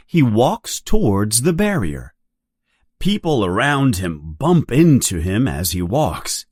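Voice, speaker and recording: A narrator reading a scripted story aloud for learners in a deliberate, clearly enunciated manner. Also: mid-range voice, no echo, clean studio sound. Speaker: audiobook narrator. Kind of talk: scripted read-along storytelling.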